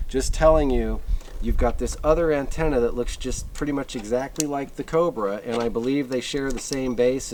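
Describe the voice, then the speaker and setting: A man's voice: medium pitch, a little mellow. A man giving a casual, off-the-cuff product review, rambling a little and repeating himself for emphasis. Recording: clearly noisy recording with clicks.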